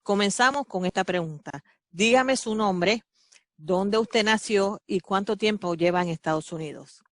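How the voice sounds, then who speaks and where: A woman hosting a Spanish-language interview, reading a measured, earnest introduction and asking questions. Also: mid-range voice. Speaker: host and interviewer. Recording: music playing behind the voice.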